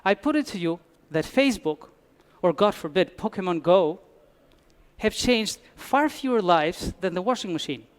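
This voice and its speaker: A man's voice: sits high, animated, moderate pace, clean tone. A man from Albania, giving a conference talk with dry humor and frequent restarts. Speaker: conference speaker. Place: conference hall.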